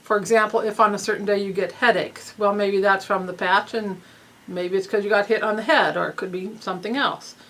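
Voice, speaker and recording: A woman with a middling pitch talks participants through their study forms. She goes step by step in a patient, plain way, with the odd um, and her nose sounds a little stuffy. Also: steady tone, fairly quick, fairly bright tone, poor audio. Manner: patient, step-by-step instruction with ums. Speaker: research study coordinator. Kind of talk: explaining study paperwork to participants.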